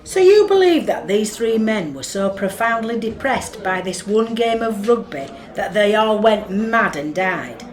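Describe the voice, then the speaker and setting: A woman's voice: medium pitch, very animated, unhurried, few pauses. A woman with a Northern English accent acting in a radio play as a blunt, level-headed, no-nonsense sceptic. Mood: sceptical. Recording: echoey room.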